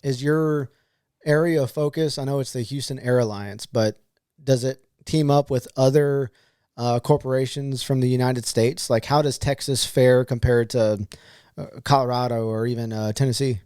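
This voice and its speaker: A man hosting a casual podcast interview, asking questions haltingly with frequent restarts. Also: medium pitch, steady tone, darkish tone, clean tone, no echo, clean studio sound. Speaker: podcast host.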